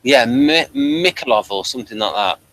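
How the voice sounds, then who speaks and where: A man hosting a review show, chatting casually in a hesitant, halting way and often repeating words. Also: fairly low pitch, wildly swooping pitch, creaky, fairly bright tone, a little hiss or hum, low-quality recording. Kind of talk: casual audio drama review chat.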